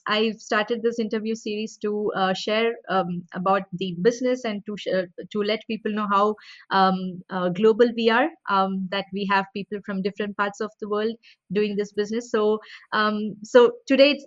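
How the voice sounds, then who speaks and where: A woman's voice: mid-range voice, no echo. A woman hosting a casual video interview, talking hesitantly with many fillers and restarts. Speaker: host. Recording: dull dry lo-fi sound.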